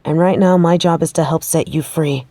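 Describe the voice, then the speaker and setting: Woman acting a mellow, determined female researcher. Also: deep voice, steady tone, moderate pace, no room sound. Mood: grave, reassuring. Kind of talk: audio roleplay acting, addressing the listener.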